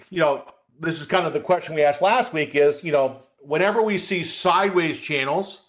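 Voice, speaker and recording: A man hosting a live trading webcast as a coach, talking through market charts in a conversational, folksy way, addressing viewers directly and often checking in with a short tag question. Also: a little high. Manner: conversational, repeats "okay?" as a check.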